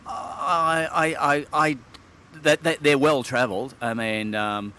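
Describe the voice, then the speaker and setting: A man's voice: mid-range voice, a little slow, sharp tone, clean tone. A middle-aged man from Sydney, Australia, a wine expert guest, speaking in articulate, wordy sentences with hesitant fillers. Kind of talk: relaxed interview talk.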